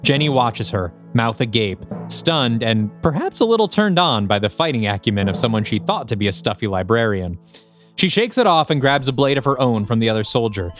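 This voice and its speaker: Male narrator for action audio drama: medium pitch, vivid scripted storytelling, faint music bed.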